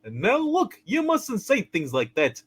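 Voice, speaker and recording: A man's voice: high voice, wildly swooping pitch, a little slow, a bit bright, husky, full character voice. A man voicing a kindly, fussy, eccentric old guardian angel in a hammed-up radio play reading, with chirpy, theatrical politeness. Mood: fussy, chiding. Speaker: channel co-host.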